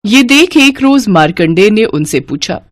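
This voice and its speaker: A woman's voice: medium pitch, animated, a little slow, no room sound, clean studio sound. A woman narrating a devotional story in a scripted storytelling style.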